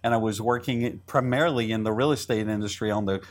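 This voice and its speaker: A middle-aged man from Israel whose first language is Hebrew talks as an interview guest. He speaks fast and keeps restarting his sentences. He repeats words as he goes. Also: on the low side. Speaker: entrepreneur guest. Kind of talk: interview conversation.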